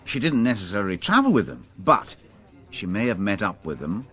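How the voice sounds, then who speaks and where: Radio play detective: deep voice, very varied intonation, thoughtful, persistent, tentative reasoning. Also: male voice, very animated, brisk, fairly bright tone, slightly rough, heavily acted. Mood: hesitant, persistent. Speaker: police detective. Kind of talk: radio drama dialogue.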